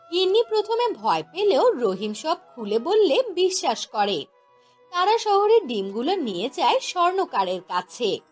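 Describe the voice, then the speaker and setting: A woman narrates a cartoon tale from a script. Her delivery is slow and lively in intonation, with music underneath. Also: high voice.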